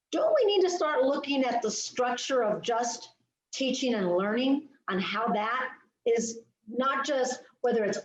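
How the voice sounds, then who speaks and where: A woman co-hosting a casual discussion, chatty and quick to laugh, posing leading questions to her guest. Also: high voice. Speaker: podcast co-host. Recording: echoey, dull-sounding audio.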